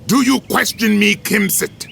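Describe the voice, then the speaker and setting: An actor playing a menacing, cold villain general in a video game, speaking in grandiose, declamatory verse and threats. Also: male voice, high-pitched, creaky, fairly bright tone, slightly rough, full character voice. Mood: imperious. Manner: slow, declamatory, poetic menace. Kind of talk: video game cutscene acting.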